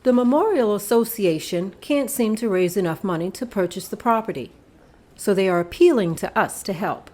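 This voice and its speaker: A woman at a table read playing an agreeable club member and secretary in a plain, steady voice. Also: mid-range voice, normal speed, lightly acted.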